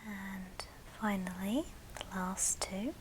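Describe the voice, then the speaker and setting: A woman hosting an ASMR show and tell in a soft, breathy whisper, speaking gently in short phrases. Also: medium pitch, slow pace, noisy background. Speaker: ASMR video host.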